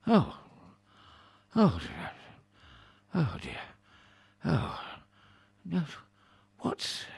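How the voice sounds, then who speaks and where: Scurrilous railing camp cynic, Shakespearean audio drama, halting mutter, swooping highish pitch, close dry sound. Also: male voice, wildly swooping pitch, gravelly, husky, no echo, exaggerated voice. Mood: muttering, uneasy.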